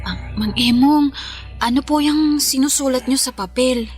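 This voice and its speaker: Outspoken, high-voiced young Filipina friend. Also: female voice, measured pace, darkish tone, faint music behind. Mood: curious, uneasy. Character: young woman, suspicious, outspoken loyal friend. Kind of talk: Filipino radio drama acting.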